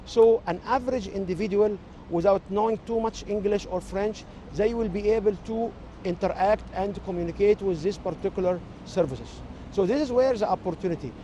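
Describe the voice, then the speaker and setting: A man with an Egyptian accent and native Arabic, giving measured, explanatory interview answers as a technology expert. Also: high voice, mellow, a little hiss or hum.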